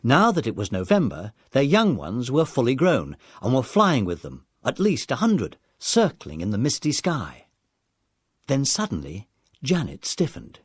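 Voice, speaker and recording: A man's voice: mid-range voice, darkish tone, breathy edge, no echo, clean studio sound. A man narrating a scripted children's audiobook story.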